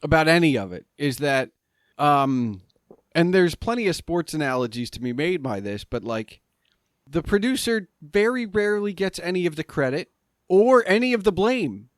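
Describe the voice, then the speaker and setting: A man hosting a podcast, talking casually in a rambling, halting way with many restarts. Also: on the high side, sing-song, no room sound, clean studio sound. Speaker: podcast host. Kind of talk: casual interview conversation.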